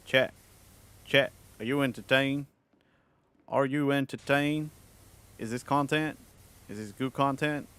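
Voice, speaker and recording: A man's voice: medium pitch, steady tone, takes it slowly, pauses often, fairly bright tone, clear, resonant tone. A man from the United Kingdom putting on an American Southern cowboy accent, chatting casually and self-consciously to a livestream audience with plenty of swearing. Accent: put-on American Southern cowboy drawl. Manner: self-conscious, profane, playful rambling in a put-on accent. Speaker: livestreamer talking to chat.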